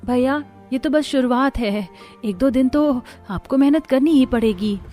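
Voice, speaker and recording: A woman puts on a practical young sister in a cartoon story. The voice is raised in pitch, eager and emphatic, urging and persuading, with music behind. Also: measured pace, warm tone. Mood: encouraging. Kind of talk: Hindi cartoon story dialogue.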